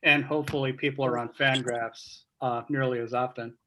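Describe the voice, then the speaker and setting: A man's voice: medium pitch, little pitch movement, moderate pace, pushed and bright, slight background noise, low-quality recording. A man hosting a casual conversation on a video call, putting questions to guests. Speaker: meeting host, interviewer. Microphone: video call microphone.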